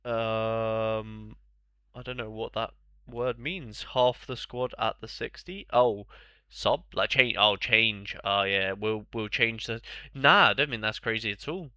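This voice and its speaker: A man's voice: on the low side, slow, pushed and bright, clean tone, dry recording. A young man with a bunged-up, congested voice, chatting casually on a live stream and answering viewers' questions in a rambling way.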